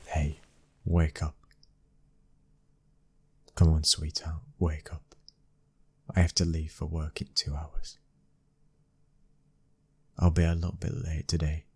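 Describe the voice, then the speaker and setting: A British man playing a young mafia boss's son, a protective, controlling husband, in an intimate ASMR roleplay, with a husky, breathy voice and a commanding manner. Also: very deep voice, completely flat delivery, slow, pauses often, full character voice. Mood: soft, intimate. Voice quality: husky, breathy, sometimes dropping to a whisper. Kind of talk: ASMR boyfriend roleplay audio drama.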